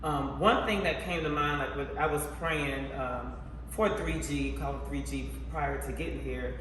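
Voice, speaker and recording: A man's voice: mid-range voice, normal speed, fairly bright tone, a little hiss or hum. A man hosting an interview, reflecting on faith and asking long, rambling questions. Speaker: interviewer host. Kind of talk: casual interview chat. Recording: echoey hall from far off.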